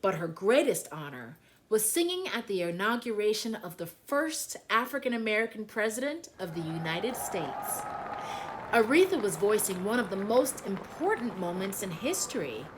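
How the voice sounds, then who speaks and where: A woman reading a children's picture book aloud in an expressive storytelling style, phrasing deliberately and leaning on key words. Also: medium pitch, a little hiss or hum. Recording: music behind the voice.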